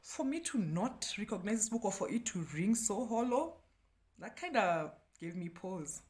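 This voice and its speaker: A woman's voice: mid-range voice, sing-song, normal speed, a little mellow. A Kenyan woman, a native Kikuyu speaker, reviewing books in a casual, slangy, self-deprecating chat. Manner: chatty, slangy, self-deprecating, breaking often into laughter. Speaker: book review vlogger.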